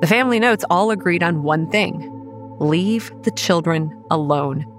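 Faint music sits behind an actress as a young female campground manager in a horror audio drama. Her voice is low and measured, telling eerie things in a pragmatic, wry, matter-of-fact way. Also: lightly acted. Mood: wry, weary narration. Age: young adult. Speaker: narrator and lead character. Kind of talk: first-person scripted horror narration and dialogue.

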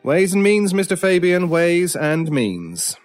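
An actor playing a cool, teasing, mysterious British stranger in a horror radio drama, with a measured, theatrical and wry way of talking. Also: male voice, high-pitched, sing-song, soft background music, full character voice. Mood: knowing. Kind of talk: old time radio style horror audio drama.

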